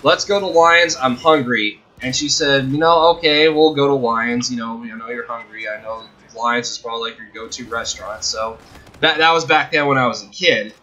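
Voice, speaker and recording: A young man hosting a video, telling a personal story casually in a halting, rambling, self-correcting way. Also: mid-range voice, pushed and bright, lo-fi sound. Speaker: video host.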